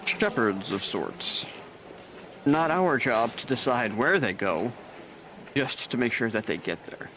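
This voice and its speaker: An actor playing a jaded male nurse supervisor who talks with calm, dry gallows humor. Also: medium pitch, slow. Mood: wry grim. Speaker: charge nurse. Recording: noisy background.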